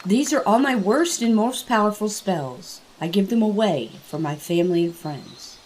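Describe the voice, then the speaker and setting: A woman playing an earnest female bard and spellcaster in a fantasy audio drama, with a soft, husky voice. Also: medium pitch, expressive, normal speed, a little hiss or hum, lightly acted, low-quality recording.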